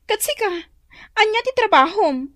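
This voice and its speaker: Very high-pitched weary young wife. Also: female voice, sing-song, moderate pace, a bit bright, no room sound, studio quality, exaggerated voice. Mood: hurt, defiant. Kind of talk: radio drama acting.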